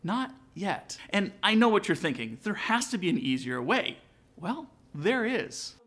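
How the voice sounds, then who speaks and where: A man with a fairly high, animated voice presents a scripted tutorial. He talks casually and directly to his audience, like a vlog host, with plenty of melodic movement in his delivery. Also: measured pace, background noise. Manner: casual, direct address to viewers. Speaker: aviation vlog host, student pilot. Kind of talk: upbeat scripted tutorial narration with sponsor read.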